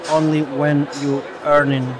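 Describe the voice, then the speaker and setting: A man's voice: fairly high pitch, monotone, unhurried. Male interviewer, Slovak native, eager, with a crowd behind him. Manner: non-native phrasing, eager. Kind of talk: interview questions.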